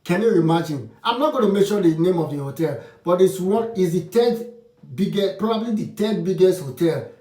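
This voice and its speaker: A man's voice: sits high, lively intonation, brisk, warm tone. Frank man talking from across an echoey room. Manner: frank, opinionated, with frequent restarts. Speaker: vlogger. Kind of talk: casual advice talk to camera. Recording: roomy echo, distant from the mic.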